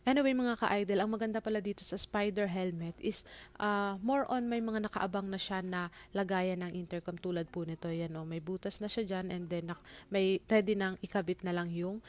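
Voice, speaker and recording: A woman's voice: medium pitch, normal speed. A Filipino woman vlogger chatting casually to viewers in an unboxing and review style, mixing in English informally. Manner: informal Tagalog-English code-switching.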